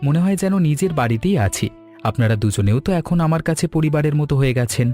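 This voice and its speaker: Appreciative, mannerly male customer for a cartoon, man acting, effusive and expressive, light music underneath. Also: fairly high pitch, quick pace, natural voice. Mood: grateful, warm. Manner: courteous, effusive. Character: polite, appreciative male office-worker customer. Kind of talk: cartoon story dialogue.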